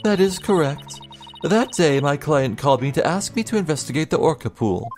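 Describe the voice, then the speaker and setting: Determined woman for a game, raised pitch, formal and deliberate, played by a man; music behind. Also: sing-song, a little mellow. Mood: solemn. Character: solemn, determined woman writer seeking the truth.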